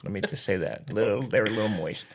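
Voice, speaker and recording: A man's voice: lowish voice, animated, fast, husky. A middle-aged man co-hosting a podcast in casual banter, folksy and slangy, playfully boastful and repeating himself for emphasis. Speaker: podcast co-host.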